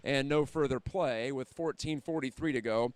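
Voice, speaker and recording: A man's voice: mid-range voice, moderate pace, clean tone, no room sound. An American man doing live play-by-play hockey commentary, describing the action in detail as it unfolds.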